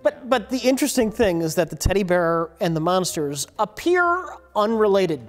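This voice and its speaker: A woman's voice: medium pitch, big pitch swings, normal speed, soft background music. A woman talking casually as a panelist on a film review show.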